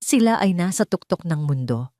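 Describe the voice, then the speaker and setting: A woman's voice: on the low side, expressive, moderate pace, dark tone, no echo, clean studio sound. A woman reading a scripted romantic drama as a storyteller, in dramatic narration.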